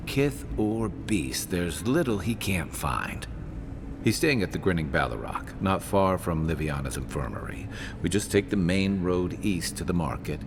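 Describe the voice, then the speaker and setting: Worldly fantasy game sidekick: deep pitch, dry, conversational, understated counsel. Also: male voice, background noise. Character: dry, worldly male companion advising the envoy. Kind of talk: video game dialogue, conversational.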